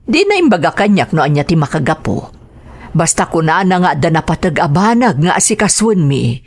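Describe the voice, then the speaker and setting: A woman's voice: lowish voice, sing-song, normal speed. A woman with a Filipino accent playing an anxious middle-aged mother and longing wife, pleading and emotional in an audio drama. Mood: puzzled. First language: Ilocano.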